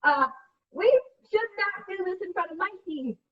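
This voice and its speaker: A woman's voice: extremely high pitch, animated, unhurried, voice pushed up. A woman playing a wary, polite single mother in a comedic radio play, on a dull, distant mic.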